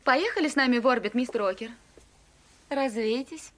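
A woman's voice: a little high, moderate pace, faint music behind, natural voice. An actress playing a playful, coaxing woman in a dubbed film drama.

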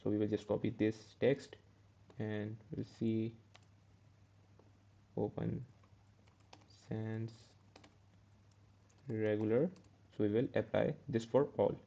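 A man's voice: lowish voice, level tone, takes it slowly, creaky, pauses often, dark tone, highs cut off. A man teaching a step-by-step coding tutorial over a screen recording, correcting himself as he goes. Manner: step-by-step, self-correcting with "uh" and "okay". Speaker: programming tutor.

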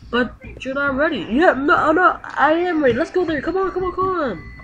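High-pitched gamer roleplaying over noisy mic. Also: moderate pace, fairly bright tone, highs cut off. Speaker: gamer roleplaying in a video game. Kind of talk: improvised anime roleplay over gameplay.

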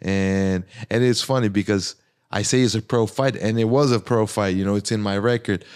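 A man speaks in a fairly low, clean, resonant voice. He rambles easily as a podcast guest, stringing thoughts together with lots of "like" and "you know". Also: moderate pace, a little mellow, smooth. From Brazil. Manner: loose and rambling, heavy use of "like". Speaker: guest fighter. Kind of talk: casual podcast chat.

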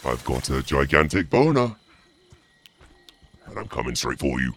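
A man commentating on a game in a theatrical, mock-menacing, sweary way, his voice pitched down by a voice changer. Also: sing-song, normal speed, a bit bright. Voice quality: deep, gravelly, through a voice changer. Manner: theatrical mock-menacing taunts mixed with muttering. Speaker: streamer playing a game as the killer.

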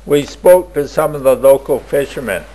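A man narrating a travel video in a plain, measured voice-over. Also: mid-range voice, lively intonation, mellow, poor audio. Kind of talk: slow scripted travel voice-over.

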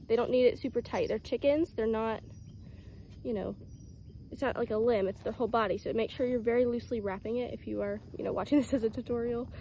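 A young woman with a Southern US accent, talking casually through a how-to farm vlog, full of "um"s and soft, soothing words to her animals. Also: fairly high pitch, a little hiss or hum. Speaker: vlogger caring for farm animals. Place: outdoors on a farm by animal pens. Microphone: phone camera held close, selfie framing.

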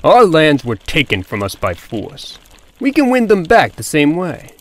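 A man doing a high-pitched, scheming farm pig. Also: wildly swooping pitch, a little slow, a bit bright, exaggerated voice. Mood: conspiratorial. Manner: conspiratorial, earnest. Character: scheming, persuasive revolutionary talking farm pig. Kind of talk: comic dub character acting.

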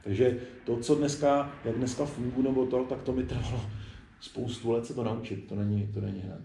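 A man's voice: medium pitch, steady tone, creaky, mellow. A man in his forties talking casually and reflectively in an interview, restarting his sentences and stressing his points. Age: 40s. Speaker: interviewed illustrator and studio founder. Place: indoor spray-paint shop, at a table. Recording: roomy sound with faint background noise.

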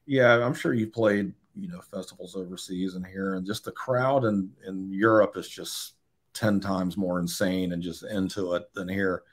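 A man's voice: low-pitched, fairly even intonation, moderate pace. A man in his forties from Georgia, a guest on a video call, chatting casually with blunt, swear-laden storytelling. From Georgia, USA. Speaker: guest, photographer. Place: home room, indoors. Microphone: webcam on a video call.

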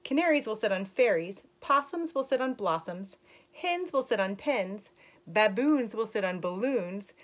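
A woman voicing a cheerful, playful frog in a storybook, reciting rhymes slowly and emphatically. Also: mid-range voice, expressive, lightly acted. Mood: sing-song. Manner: slow, sing-song, emphatic rhymes.

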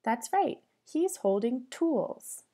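A woman reads aloud like a teacher with young children, in a soft, mellow voice. Her pitch swoops in a sing-song way, and she takes her time, stopping to ask questions. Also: sing-song, takes it slowly. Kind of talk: interactive storybook read-aloud for young children.

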